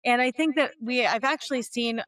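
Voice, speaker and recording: A woman's voice: on the high side, fairly quick, a bit bright. A woman speaking as a divorce expert guest, keen and thoughtful in her answers. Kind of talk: casual panel chat.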